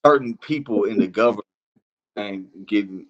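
Deep-voiced Iranian-born man, halting and slangy. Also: highs cut off. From Iran. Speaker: interview guest. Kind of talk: casual chat.

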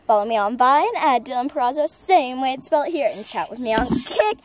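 A young vlog host chatting casually, filming on a handheld phone at an outdoor campground. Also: female voice, high-pitched, expressive, a little fast, noisy background.

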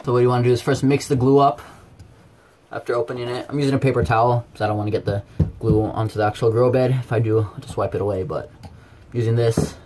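A man's voice: medium pitch, steady tone, fast. Plain-spoken man with noisy background. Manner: informal, plain-spoken, explaining step by step. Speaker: presenter of DIY how-to videos. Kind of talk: casual hands-on tutorial narration. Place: around a backyard tub and a workshop. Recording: handheld camera with background noise.

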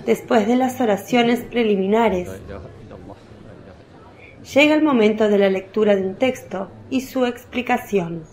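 A woman's voice: on the high side, measured pace, darkish tone. A woman narrating a radio drama as scripted storytelling.

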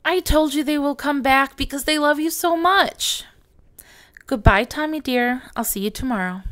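A woman voicing a kind, upbeat preschool teacher from a picture book, gently encouraging in a sing-song manner. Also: high voice, moderate pace, no room sound. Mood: tender reassurance. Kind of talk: picture book character reading.

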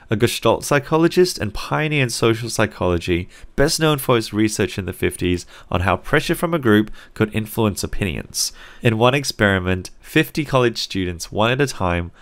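Singapore-raised man with an Australian accent. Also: lowish voice. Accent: Australian English, learned as an adult. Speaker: psychology teacher. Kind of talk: scripted video lesson narration.

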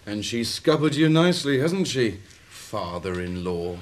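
A man playing a sneering, henpecked son-in-law and parroting yes-man in a British radio drama, with a husky voice. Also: lowish voice, big pitch swings, measured pace.

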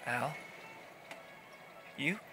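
An actor playing a shy, awkward young 1940s poet with a halting, hesitant manner in an audio drama. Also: male voice, music in the background. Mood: flustered. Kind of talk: period horror audio drama acting.

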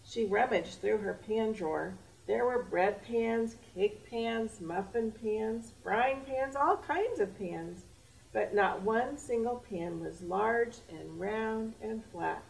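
A woman's voice: fairly high pitch, slow, vocal fry. A middle-aged American woman hosting a children's storytime, reading expressively in simple, theatrical phrases. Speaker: library storytime host. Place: library children's area, indoors. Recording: distant camera mic, faint room noise.

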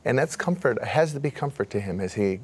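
A man in his forties offers an earnest reflection to a studio Bible class. His pitch sits in the middle of the range. Lapel mic, studio. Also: lively intonation, quick pace, darkish tone, breathy edge. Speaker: class participant. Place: TV studio set.

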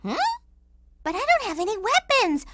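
Squeaky, bubbly little cartoon girl. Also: sing-song, normal speed, background noise. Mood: gentle, earnest. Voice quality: squeaky, childlike. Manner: singsong, gushing, playful. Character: cute, bubbly, sweet-natured little girl in a cartoon game. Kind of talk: cartoon video game voice acting.

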